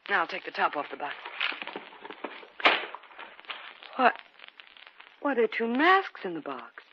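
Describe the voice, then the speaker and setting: A woman's voice: mid-range voice, very animated, moderate pace, heavy background noise, lightly acted. A woman playing a young wife in a 1950s radio drama. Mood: cheerful. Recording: dull old radio recording with music.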